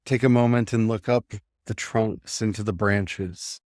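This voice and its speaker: A man with a lowish voice leads a guided meditation very slowly. He gives gentle instructions in short phrases, leaving room between them. The sound is dry and close, with little room echo. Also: fairly even intonation.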